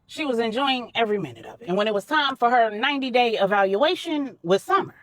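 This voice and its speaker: A woman narrating a story for adults in a dramatic, deliberate way, with measured phrasing. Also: on the high side, sing-song, fairly bright tone, no room sound. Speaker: storyteller, host.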